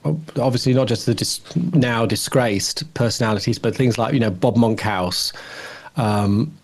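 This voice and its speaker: A man with a medium-pitched voice talks informally as a podcast co-host. He thinks aloud tentatively, often breaking off a sentence to put it another way. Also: unhurried. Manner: tentative, musing, often reformulating mid-sentence. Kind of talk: casual podcast discussion.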